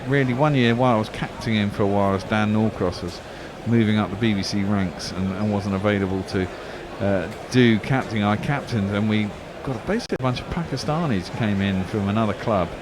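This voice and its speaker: A man's voice: fairly low pitch, fairly quick, few pauses. Chatty man calling cricket. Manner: chatty, rambling anecdotes between deliveries. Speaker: cricket commentator. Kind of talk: casual ball-by-ball radio commentary. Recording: background noise.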